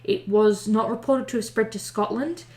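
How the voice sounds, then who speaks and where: A woman's voice: fairly high pitch, normal speed, mellow, roomy sound. A young woman co-hosting a casual podcast, reading history notes aloud, stumbling over the old wording and poking fun at herself. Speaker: podcast co-host.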